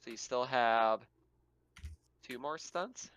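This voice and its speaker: A man's voice: medium pitch, takes it slowly, a bit bright, clear, resonant tone, no echo. A man acting as game master, casually talking players through a tabletop roleplaying game.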